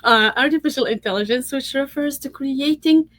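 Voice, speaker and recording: A woman's voice: high voice, moderate pace, a bit bright. A woman from Tunisia in her thirties, speaking casually in an online workshop talk with many uh and um fillers and restarts.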